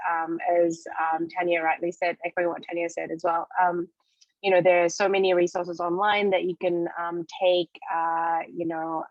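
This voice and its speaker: Woman, 30s, from Indonesia. Hesitant, repetitive panel talk in a slightly low voice, muffled video-call sound. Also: dry recording. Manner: hesitant, frequent pauses and repeated phrases. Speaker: panelist, children's science programme co-founder. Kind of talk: informal panel sharing. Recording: dull, lo-fi video call audio.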